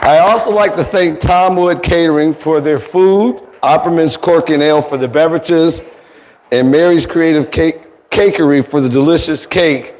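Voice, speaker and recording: Raspy man giving a welcome speech. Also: fairly high pitch, moderate pace, darkish tone, a faint crowd, slight background noise. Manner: courteous, thanking people one by one. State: getting over a cold. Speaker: foundation board chairman. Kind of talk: formal welcome speech at an event. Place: indoor museum event space. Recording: long hall reverb.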